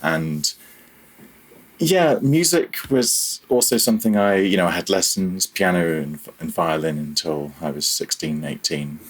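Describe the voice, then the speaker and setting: A low, husky-voiced programmer in his thirties, thinking aloud as a guest on a laid-back tech podcast. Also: lively intonation, unhurried. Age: 30s. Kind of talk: casual interview chat.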